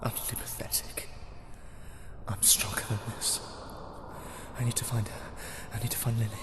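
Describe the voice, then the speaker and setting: A man's voice: lowish voice, level tone, moderate pace, echoey room, in a large hall, lightly acted. A man playing an anguished, grieving prisoner in an audio drama, stammering and emotional. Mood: bitter, defeated. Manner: emotional, stammering, swinging from whispers to screams.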